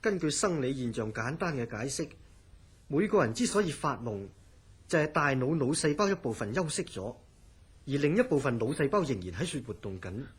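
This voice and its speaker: An actor playing a rational, skeptical man in a horror radio drama, explaining things in a husky, doubting, faintly amused way. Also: medium pitch, big pitch swings, fairly quick.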